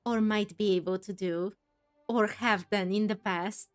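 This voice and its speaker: A woman's voice: mid-range voice, steady tone, unhurried, soft background music. A woman hosting a casual game playthrough, chatting and reacting expressively as she goes. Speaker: gaming video host.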